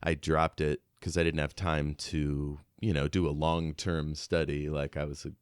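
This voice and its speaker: A man co-hosting a podcast, casually discussing a book and thinking aloud in a halting way. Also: a bass voice, level tone, smooth, no room sound, studio quality. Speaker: podcast co-host.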